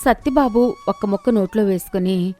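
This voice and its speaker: A woman's voice: medium pitch, moderate pace, warm tone, faint music behind. A woman reading scripted narration for a moral story as its storyteller.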